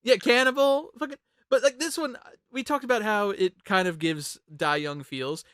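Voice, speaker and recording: A host in a casual group chat, talking in an animated, rambling way with some swearing. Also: mid-range voice, normal speed, dry recording, clean studio sound.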